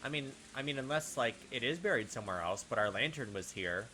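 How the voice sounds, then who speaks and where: A man's voice: mid-range voice, lively intonation, fast, pushed and bright, low-quality recording. A man giving casual gaming commentary and reading on-screen text aloud, talking through his theories in a puzzled way. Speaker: gaming video host. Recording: clear background game noise.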